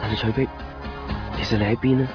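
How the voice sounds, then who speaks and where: A man's voice: on the low side, fairly even intonation, fast, close to an everyday voice. A man with a Hong Kong accent playing a stubborn, devoted, desperate young man in a radio drama. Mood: worried, longing. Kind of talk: Cantonese radio drama acting with inner monologue. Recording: muffled 1990s radio recording, music behind. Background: music.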